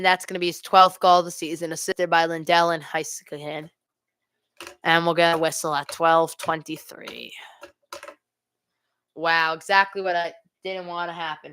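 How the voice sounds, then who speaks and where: A woman's voice: lowish voice, flat delivery, moderate pace, a bit bright, clear, resonant tone, no room sound. A woman hosting a live hockey watch party, giving amateur play-by-play mixed with exasperated fan asides.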